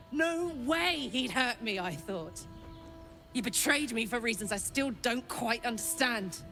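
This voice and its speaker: A woman's voice: a little high, fairly bright tone. A woman playing a fierce, betrayed warrior woman in a video game, blunt, sardonic and emotionally raw. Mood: hurt, bitter. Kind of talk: dramatic video game acting.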